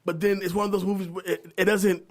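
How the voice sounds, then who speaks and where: A man with a high-pitched voice leads a loose podcast conversation. He is blunt and jokey, speaking in slangy, profane banter. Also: little pitch movement, quick pace, darkish tone. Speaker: podcast panelist leading the discussion. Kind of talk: casual profane banter about movies.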